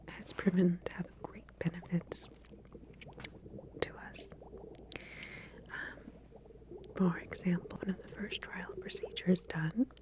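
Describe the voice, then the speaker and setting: A woman whispering gently in an ASMR roleplay as a medical researcher, breathy and unhurried, pausing as she explains procedures. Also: lowish voice.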